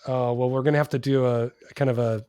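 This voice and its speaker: A man hosts a casual podcast, jokey and friendly, and laughs at his own jokes. His pitch is in the middle range. The sound is dry and close to the mic. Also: steady tone, fast, mellow, clean tone, no room sound. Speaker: podcast host. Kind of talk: casual interview and show outro.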